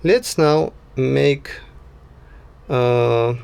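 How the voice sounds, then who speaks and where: A man narrating an unscripted software tutorial as the tutor, talking haltingly and often checking in with a short tag word. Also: mid-range voice, vocal fry, clear, resonant tone. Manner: halting, frequent "yeah" check-ins. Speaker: sound design tutor.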